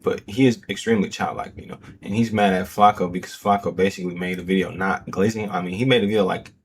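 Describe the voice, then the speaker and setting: A man's voice: deep voice, fairly even intonation, quick pace, a little hiss or hum. A man hosting a reaction show, talking loosely in slangy casual commentary full of fillers. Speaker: host.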